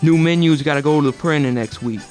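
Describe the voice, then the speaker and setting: Guy acting a eager loyal pizza joint henchman, voice a bit high, faint music, audio comedy. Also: fast talker, a little mellow, lightly acted. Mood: concerned. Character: loyal, eager male pizzeria underling to a mobster.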